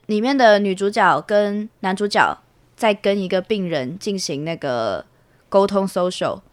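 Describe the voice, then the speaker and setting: A woman's voice: mid-range voice, animated, a little slow, dry recording. Young woman explaining casually, dry sound. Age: young adult. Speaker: student guest. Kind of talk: casual explanatory interview talk.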